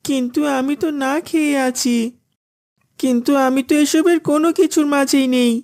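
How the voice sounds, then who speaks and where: A woman's voice: sits high, steady tone, normal speed, a little mellow, close to an everyday voice. A woman voicing a meek, earnest young boy in a children's cartoon, with a plaintive, innocent manner. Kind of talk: Islamic children's cartoon dubbing.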